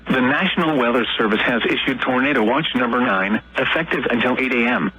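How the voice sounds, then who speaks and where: A man's synthetic voice reading a scripted emergency weather bulletin in a formal, measured manner. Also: mid-range voice, bright, forceful tone. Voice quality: synthetic text-to-speech voice. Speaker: weather radio announcer. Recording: dull noisy radio broadcast with hum.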